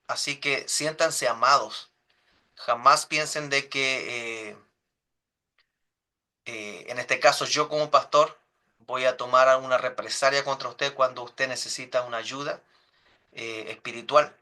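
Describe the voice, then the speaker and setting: A man's voice: medium pitch, bright, forceful tone. A man, a pastor teaching a church class in an earnest, pastoral way, speaking directly to the group.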